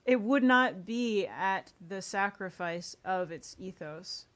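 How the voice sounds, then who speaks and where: Female interview guest, average-pitched voice, earnest, informal conversational delivery. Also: lively intonation, takes it slowly, a bit bright. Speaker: guest, audio series co-creator. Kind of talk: casual interview chat.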